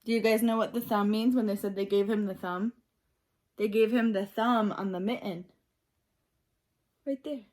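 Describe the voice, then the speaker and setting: A woman reading a picture book aloud like a teacher, expressively, pausing to ask and explain things simply for young children. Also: mid-range voice, steady tone, darkish tone. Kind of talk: read-aloud storytelling mixed with simple questions and explanations.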